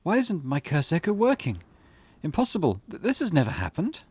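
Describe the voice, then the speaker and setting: A man's voice: on the high side, huge pitch swings, fairly quick, slightly rough, voice pushed up, exaggerated voice. Man doing a desperate, menacing, theatrical curse villain. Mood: dismayed. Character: desperate, menacing male curse bearer seeking resurrection. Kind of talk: visual novel dialogue read aloud.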